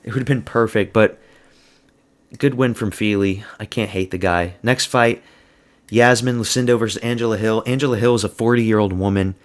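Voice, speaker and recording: A man's voice: lowish voice, steady tone, normal speed. A man giving a blunt, crude, slang-heavy solo review of fight results. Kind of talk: casual solo commentary.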